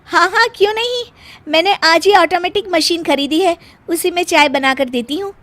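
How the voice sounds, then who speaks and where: A woman's voice: very high-pitched, normal speed, a bit bright, voice pushed up. A woman voicing a breezy, defiant young shopaholic daughter-in-law in a theatrical cartoon style. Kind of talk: Hindi cartoon story acting.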